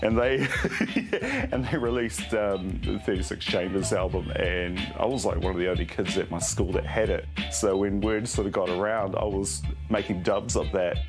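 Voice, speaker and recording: A man's voice: lowish voice, normal speed. A middle-aged man with a New Zealand accent chatting casually in an interview, laid-back and slangy. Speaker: music shop interviewee. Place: small record shop. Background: music.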